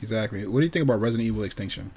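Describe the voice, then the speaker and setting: A man's voice: on the low side, expressive, racing, dark tone, slightly rough. Slangy, emphatic American man over music. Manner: slangy, opinionated, emphatic, repeats himself. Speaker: podcast co-host. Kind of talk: casual banter about movies and anime.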